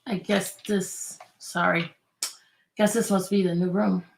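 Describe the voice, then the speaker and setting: A woman teacher reading a children's book aloud, expressive and deliberate, prompting young listeners with questions. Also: lowish voice, vocal fry, a little hiss or hum.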